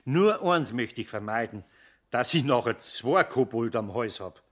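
A patient older bavarian carpenter, medium pitch, folksy, kids radio play. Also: male voice, animated, a little slow, a bit bright. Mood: curious. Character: good-natured older male master carpenter, patient. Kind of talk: German children's radio play acting.

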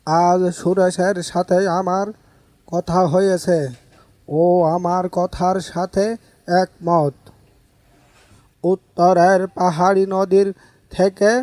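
Guy doing a wise kindly village uncle for a cartoon folktale, slow n measured, kinda flat tone. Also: high voice, no room sound. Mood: confiding. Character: kindly village uncle, secretive, wise keeper of a magic spade. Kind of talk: animated fairy-tale dialogue.

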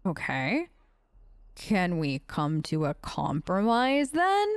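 A woman's voice: on the low side, wildly swooping pitch, slow pace, clear, resonant tone, dry recording. A woman hosting a game let's play, improvising in character in a casual, polite and hesitant way. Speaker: gaming video host.

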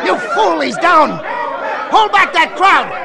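An agitated army commander in a fifties radio play. He barks urgently in a swooping voice over a loud crowd. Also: very high voice, very animated, takes it slowly, very noisy, exaggerated voice. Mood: alarmed, commanding. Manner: barking, urgent. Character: commanding, agitated military officer man. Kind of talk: 1950s radio drama acting. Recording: loud crowd and music behind. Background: loud crowd and music.

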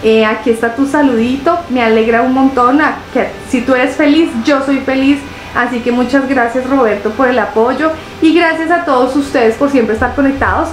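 A young woman with a Colombian accent, chatting casually to camera from her couch as a reaction vlogger, expressive and full of drawn-out fillers. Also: sits high, reverberant, poor audio. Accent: Colombian Spanish. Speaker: reaction video host. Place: living room, seated on a couch.

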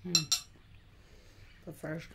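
A young woman playing a hungry, casually menacing jungle giantess in an ASMR roleplay, whispering and murmuring softly, close to the microphone. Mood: smug, hungry. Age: young adult. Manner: slow, breathy whispering mixed with soft murmurs. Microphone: close microphone in front of mouth. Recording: close mic, noticeable noise and clicks.

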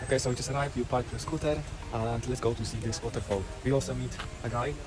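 Male travel vlogger, twenties, casual delivery, mid-range pitch, recorded outdoors over loud background sound. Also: steady tone, fast talker, heavy background noise. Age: 20s. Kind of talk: casual vlog narration. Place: outdoors on roadsides, by scooter and trail.

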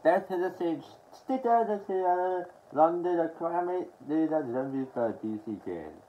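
A young adult man from Australia talking haltingly and with mumbled words in a casual show-and-tell to camera at home. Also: a little high, animated. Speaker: collector showing his media haul. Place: small furnished room at home, indoors. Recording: dull lo-fi sound with background noise.

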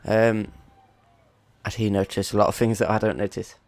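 A man's voice: lowish voice, little pitch movement, moderate pace, darkish tone, no echo. A young man with a cold, chatting casually and swearing now and then while commentating his own gameplay. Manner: rambling, chatty, swears at opponents. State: congested with a cold. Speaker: gaming YouTuber.

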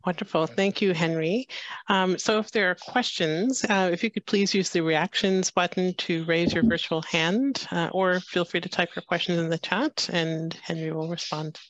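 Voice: mid-range voice, steady tone, moderate pace, a bit bright, no echo, muffled recording. A polite event host moderating a live online question session.

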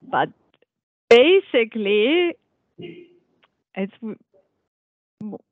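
A woman's voice: a little high, big pitch swings, drawn-out words, no echo. Woman on a tinny video call. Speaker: meeting participant. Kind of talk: casual discussion on a video call. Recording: dry, phone-like call audio.

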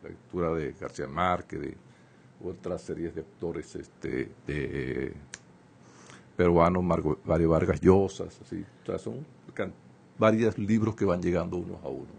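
A middle-aged Venezuelan man answering as a guest writer in a radio interview, speaking haltingly and repeating phrases. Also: low voice, a little mellow. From eastern Venezuela. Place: small radio studio, indoors. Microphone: studio microphone on table. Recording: clear background noise, live webcast.

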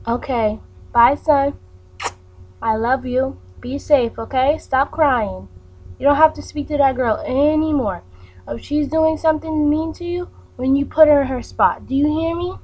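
Lady playing a sweet bossy old granny, high and slow, faint hum. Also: a little mellow, low-quality recording. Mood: caring. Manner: fussing, protective, gives firm advice. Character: caring, bossy old grandma.

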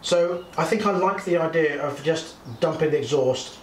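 A man's voice: fairly high pitch, normal speed. A man in his forties, hosting, explaining a motorcycle build to camera in a casual, chatty way while working outdoors by a shed. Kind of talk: casual workshop chat. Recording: echoey, distant, faint noise.

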